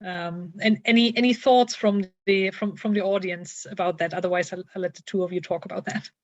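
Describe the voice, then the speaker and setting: A woman's voice: medium pitch, fairly even intonation, fairly quick, no echo. A woman moderating an online panel, hesitant, with lots of fillers as she frames questions. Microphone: video call.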